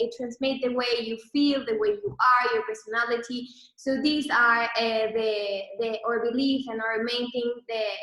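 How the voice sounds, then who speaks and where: A young woman from Venezuela, a Spanish speaker, gives a talk in halting English with a Venezuelan accent. Her voice sits fairly high and sounds bright and crisp. The room around her is echoey. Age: 20s. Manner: halting, many restarts and fillers. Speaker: guest speaker, brand co-founder. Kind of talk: online presentation.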